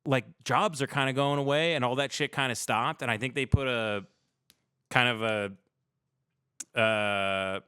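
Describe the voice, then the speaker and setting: A man's voice: medium pitch, unhurried, pushed and bright, smooth, no room sound, clean studio sound. A man hosting a livestream in a casual comedic chat, rambling through irreverent, profane stories. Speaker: livestream show host.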